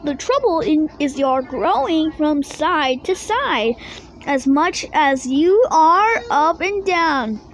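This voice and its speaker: A firm mother bear from a children's storybook, voiced by a woman reader, speaks in a high voice. She scolds slowly and means what she says. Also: very animated, heavily acted. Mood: scolding. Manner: firm, admonishing.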